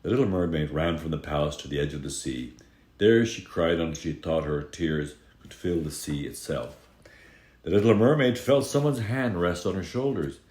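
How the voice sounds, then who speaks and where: A man reading a fairy tale aloud as a dramatic storyteller, expressive, with drawn-out emphasis on key moments. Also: deep voice. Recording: echoey room.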